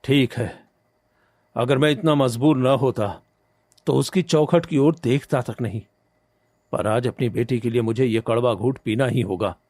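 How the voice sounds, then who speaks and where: A man's voice: mid-range voice, measured pace, darkish tone, dry recording. A poor but proud village farmer from a cartoon moral tale, voiced by a man. His mid-range voice is earnest and emotional, recorded dry and close. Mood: reluctant. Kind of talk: Hindi animated moral story dialogue.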